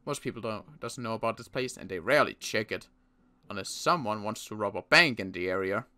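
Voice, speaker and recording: A young man playing a protective survivor in an in-character roleplay, talking in a halting way with frequent restarts. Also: on the low side, lively intonation, pushed and bright. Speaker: roleplay series player.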